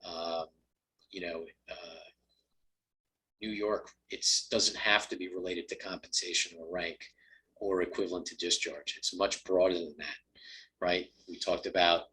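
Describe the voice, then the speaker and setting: A man presenting on employment law in a conversational way, with many fillers and restarts, his voice a little raspy from a long day of talking. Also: low-pitched, steady tone, measured pace, sharp tone, a little hiss or hum, low-quality recording. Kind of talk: webinar talk on employment law.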